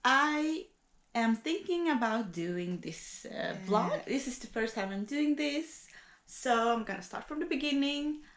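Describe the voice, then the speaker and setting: A woman's voice: lively intonation, measured pace. A young woman from Sweden, chatty and upbeat, vlogging casually into an action camera. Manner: chatty, upbeat, coos at her pet in a high baby voice. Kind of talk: casual vlog, talking to camera.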